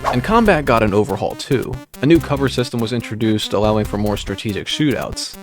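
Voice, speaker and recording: A man reading a scripted video essay in a dry, wry, measured way. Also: fairly low pitch, animated. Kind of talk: scripted narration. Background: background music.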